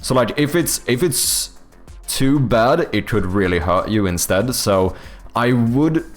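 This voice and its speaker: A Swedish man, a native Swedish speaker, chatting casually on a livestream and thinking out loud, with many fillers and restarts. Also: mid-range voice, expressive, slow, soft background music. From Sweden. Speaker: game developer streamer. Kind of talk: casual livestream chat answering viewers.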